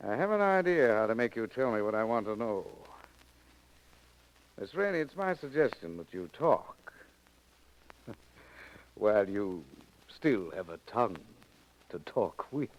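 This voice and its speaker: An actor playing an impatient, mocking kidnapper and interrogator in 1940s radio drama style, with theatrical, threatening, formal phrasing. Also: male voice, lowish voice, big pitch swings, unhurried, long pauses, low-quality recording. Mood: gloating threat.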